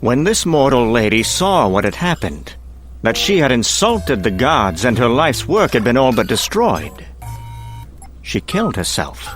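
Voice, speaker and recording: A man acting as a menacing, grandiose scientist-industrialist in a comic-book drama, speaking theatrically with deliberate pauses. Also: mid-range voice.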